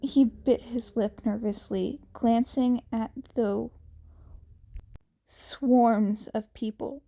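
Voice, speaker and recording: A woman narrates a story in a high, mellow voice. Her reading is expressive and very unhurried, broken by deliberate pauses between short phrases. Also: creaky. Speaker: fan fiction narrator.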